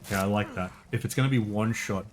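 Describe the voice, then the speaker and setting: A man's voice: on the low side, fast, noisy background. A male streamer giving casual gameplay commentary, thinking aloud and muttering to himself.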